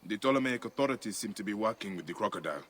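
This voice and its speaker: An actor playing a stern, determined warrior in a video game cutscene. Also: male voice, lively intonation, racing, fairly bright tone. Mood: grim, resolute. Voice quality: low, terse and determined. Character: stern Egyptian warrior man, last of his order. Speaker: game protagonist.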